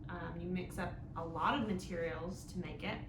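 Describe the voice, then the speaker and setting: A woman's voice: fairly low pitch, fairly even intonation, moderate pace. A woman hosting an interactive storytime for young children, explaining things in simple phrases and often checking in with questions. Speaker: children's library storytime host. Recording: echoey room with clear background noise.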